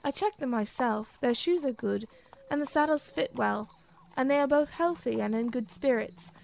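A woman's voice: high-pitched, moderate pace, creaky, a little mellow, slight background noise, rough recording. A woman playing a practical, loyal blacksmith with formal, old-fashioned phrasing in an audio drama. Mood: calm, assured.

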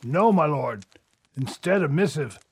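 A man playing an agitated servant in an audio drama, with a husky, gravelly voice. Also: on the high side, animated, a little slow, full character voice. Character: agitated servant calling to his lord.

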